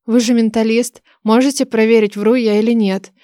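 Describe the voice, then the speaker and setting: A cheeky young fantasy heroine, played by a woman narrator speaks in a fairly high voice. She is curious, bold and chatty, as audiobook dialogue, recorded dry and close. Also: steady tone, normal speed, warm tone, no echo, natural voice.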